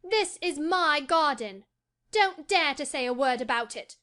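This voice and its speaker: A woman's voice: sits high, lively intonation, a little slow, sharp tone, pitched above the performer's own voice, heavily acted. A woman voicing a proud, imperious young boy in a storybook, commanding and indignant. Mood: lordly.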